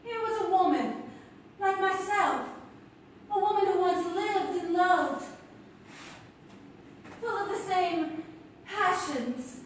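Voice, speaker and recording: A woman's voice: very high-pitched, expressive, slow, long pauses, heavily acted. A woman playing a vain, gushing actress in a stage comedy, drawing out her sentimental lines melodramatically. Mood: melodramatic. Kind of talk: comic stage radio-play acting. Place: theatre stage before a live audience. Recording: echoey theatre stage from far off, faint noise.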